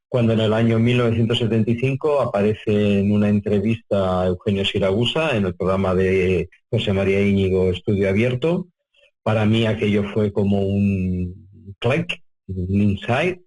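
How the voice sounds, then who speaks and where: An older man from Spain with a fairly low voice speaks as a guest in an interview. He is conversational and reflective. He talks in long, wordy runs, repeating and rewording phrases along the way. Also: a little mellow, rough recording. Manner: wordy, repeating and rephrasing as he goes. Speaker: interview guest, UFO contactee researcher.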